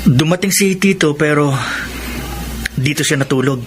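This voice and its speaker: An actor playing a cheerful, chatty young family friend in a Filipino radio drama. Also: male voice, fairly high pitch, moderate pace, music in the background, close to an everyday voice. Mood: cheerful, amused.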